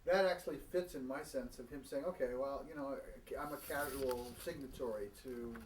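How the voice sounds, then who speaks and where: A man's voice: mid-range voice, normal speed. A man interviewing in a casual, conversational way, tentatively rephrasing as he thinks aloud. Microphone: away from the microphone. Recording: distant mic, echoey room, noisy.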